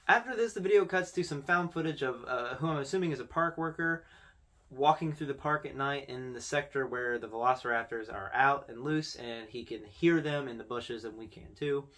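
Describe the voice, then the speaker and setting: Young man, video host, medium pitch, casual commentary, conversational, informal. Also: moderate pace, fairly bright tone. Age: young adult. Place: small indoor room with posters.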